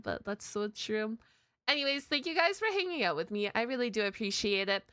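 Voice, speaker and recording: A woman hosts a casual reaction video, rambling informally and dropping slang as she goes. Her voice is bright and forward, smooth and clean, recorded close and dry. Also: a little high, no echo. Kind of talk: casual chatty reaction commentary.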